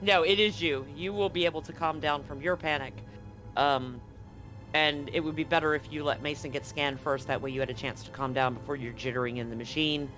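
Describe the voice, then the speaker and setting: A man's voice: a little high, fairly even intonation, fairly quick, sharp tone. A man narrating a live tabletop role-playing game as game master, explaining things conversationally. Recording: music playing behind the voice. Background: music.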